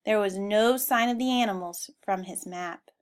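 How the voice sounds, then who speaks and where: A woman reading a children's storybook aloud in an expressive style. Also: medium pitch, normal speed. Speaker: storybook reader.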